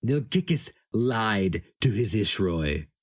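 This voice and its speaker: Man acting a fervent, maniacal sorcerer, wildly swinging pitch. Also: sing-song, slow, heavily acted. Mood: desperate, impassioned. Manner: theatrical, impassioned, hectoring. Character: maniacal, gloating male sorcerer, fervent and scornful.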